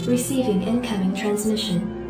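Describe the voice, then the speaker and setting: A woman's voice: mid-range voice, fairly even intonation, moderate pace, natural voice. Woman as an echoing military computer adjutant. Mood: impersonal, dutiful. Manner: clipped, formal reporting. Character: calm female military computer adjutant, impersonal and dutiful. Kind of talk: video game voice acting. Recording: echoey, distant, under loud music. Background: loud music.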